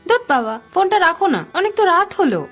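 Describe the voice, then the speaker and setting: A proud, nagging cartoon wife and mother of middle age, played by a woman. Her high voice moves dramatically up and down, with faint music playing behind. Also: very animated, quick pace, a bit bright, heavily acted. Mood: indignant, nagging. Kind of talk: Bengali cartoon voice acting.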